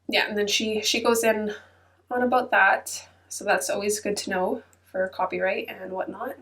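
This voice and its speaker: Woman, congested stuffy nose, chatty and hedging, mid-pitched, slightly distant from the mic. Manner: chatty, many ums and hedges. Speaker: channel host. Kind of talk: casual chatty flip-through voice-over. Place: at her computer.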